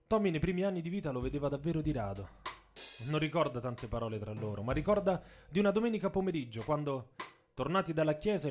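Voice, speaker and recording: A man's voice: on the high side, darkish tone. A man reading a scripted narrative as a storyteller, with an intense, dramatic, literary delivery. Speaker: narrator and author reading own text.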